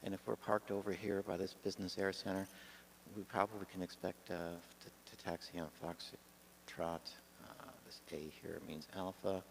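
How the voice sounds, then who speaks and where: A man giving an informal, halting lesson as he demonstrates pilot procedures, speaking in an improvised way. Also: sits low, little pitch movement, vocal fry, low-quality recording. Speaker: pilot demonstrating radio practice. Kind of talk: informal instructional talk. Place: garage. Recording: faint background noise.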